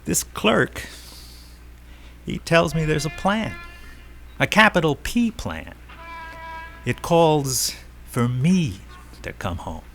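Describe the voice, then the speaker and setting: A man's voice: a little high, very animated, slow pace, creaky, pauses often, fairly bright tone, faint music behind, full character voice. An American actor in a radio drama playing a brash, restless army buddy, a self-assured ladies' man who speaks in emphatic, colloquial phrases. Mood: earnest, insistent. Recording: noisy old radio drama recording.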